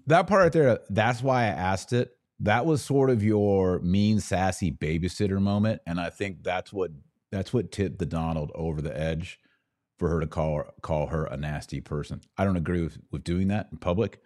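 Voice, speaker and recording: Low voiced guy hosting a podcast, casual opinionated commentary, kinda mocking when he mimics people. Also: normal speed, darkish tone. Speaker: podcast host giving political commentary.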